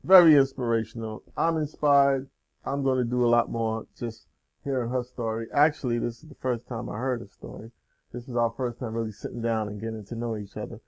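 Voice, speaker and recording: A man with a gravelly edge to his voice hosts a casual interview show. He talks in an upbeat, loose and rambling way, plugging things as he goes. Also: medium pitch, steady tone, a little fast, low-quality recording. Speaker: show host.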